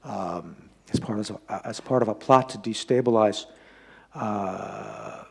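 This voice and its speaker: A man with a slightly husky voice giving an informal public lecture, halting as he repeats and rephrases himself. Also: on the low side. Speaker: lecturer giving a talk and taking audience questions.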